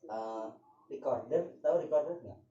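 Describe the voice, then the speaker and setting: Mellow-voiced young man in a chatty, joking mood. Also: mid-range voice, moderate pace. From Java, Indonesia. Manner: joking, laughs often, slangy. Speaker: guest. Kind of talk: casual chat with some singing. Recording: echoey, distant, dull room sound.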